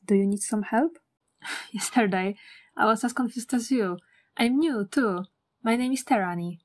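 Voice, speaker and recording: A woman's voice: on the high side, moderate pace, lightly acted. A woman voicing a friendly, helpful new schoolgirl in an amateur comic fandub.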